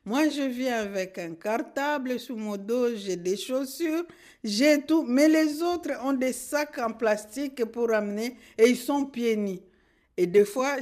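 A woman's voice: fairly high pitch, darkish tone. Earnest woman recalling her past. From Democratic Republic of the Congo. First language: Kinande. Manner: earnest, measured, speaking from memory. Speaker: social worker and guest. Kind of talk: radio interview answers.

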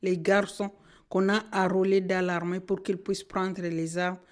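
A middle-aged woman from Congo, a Kinande speaker, answering in a radio interview in an earnest, measured way. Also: lowish voice, little pitch movement. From Democratic Republic of the Congo. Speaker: social worker and guest.

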